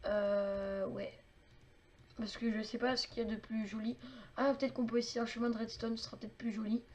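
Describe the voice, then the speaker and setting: A woman walks through a game build in a loose, casual way. Her voice sits a little high, and she keeps filling pauses with fillers and small clicking noises as she works. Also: little pitch movement, darkish tone. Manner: chatty, many fillers and clicking sounds. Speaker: game tutorial maker. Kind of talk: casual game tutorial narration.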